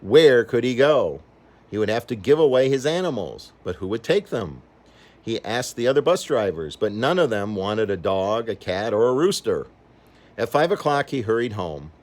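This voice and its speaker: An older man reading a children's story aloud in an expressive, animated way, acting out the characters. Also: medium pitch, normal speed. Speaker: storybook reader.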